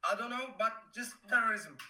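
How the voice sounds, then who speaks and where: A man's voice: sits high, brisk, pushed and bright. A man from Turkey with a Turkish accent, a stranger on a video chat, speaking blunt, hostile broken English.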